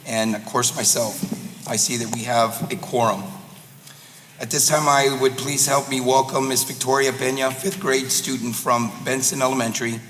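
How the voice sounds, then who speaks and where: Slightly hoarse man chairing a board meeting. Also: mid-range voice, fairly even intonation, moderate pace, fairly bright tone. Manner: formal, courteous announcing. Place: large echoey boardroom. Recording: echoey hall, faint room noise.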